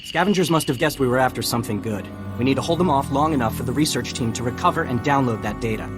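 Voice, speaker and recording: An actor playing an urgent Republic field officer in a video game, with clipped military delivery and music behind. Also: male voice, medium pitch, animated, fast, close to an everyday voice. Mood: urgent, commanding. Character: male Republic soldier, urgent field officer, brisk and grateful.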